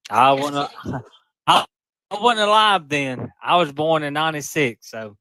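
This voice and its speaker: A man in his twenties speaks with a Mississippi accent. His voice sits a little high, and he argues earnestly, sometimes halting. The sound is dry and close. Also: pushed and bright, no echo, rough recording. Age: 20s. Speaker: guest. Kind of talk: casual debate.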